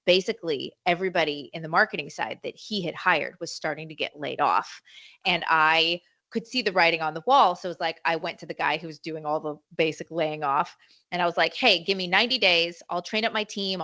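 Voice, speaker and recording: A woman in her forties, telling her story as a podcast guest in a chatty, animated way. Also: lowish voice, dry recording. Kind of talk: casual storytelling interview.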